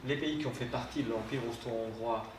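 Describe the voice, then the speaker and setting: A man's voice: medium pitch, a little fast, noisy background. Man, panel speaker, hesitant with fillers, distant mic, echoey theatre hall. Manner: hesitant, many fillers and restarts. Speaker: translator. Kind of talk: panel discussion.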